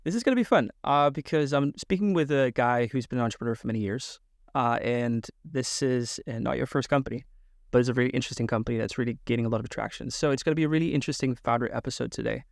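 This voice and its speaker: A man's voice: medium pitch, fast, vocal fry, dry recording, clean studio sound. A man hosting a podcast interview, upbeat and enthusiastic as he introduces and questions his guest. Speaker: podcast host.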